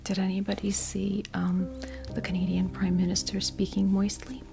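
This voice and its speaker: A woman chatting in a breathy, creaky whisper for an ASMR unboxing video, rambling hesitantly through little asides as the host. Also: mid-range voice, completely flat delivery, moderate pace. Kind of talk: ASMR, soft rambling chat. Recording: music playing behind the voice. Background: clear background music.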